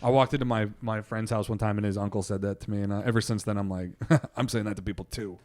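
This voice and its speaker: A man's voice: on the low side, fairly even intonation, quick pace, darkish tone. A young man co-hosting a podcast, joking crudely and laughing a lot. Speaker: podcast co-host. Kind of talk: casual chat.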